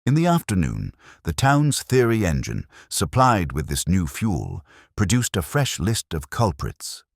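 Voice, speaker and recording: Very deep-voiced male story narrator. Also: wildly swooping pitch, a little slow, dry recording, clean studio sound. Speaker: narrator of a detective mystery. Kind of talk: scripted literary audiobook-style narration.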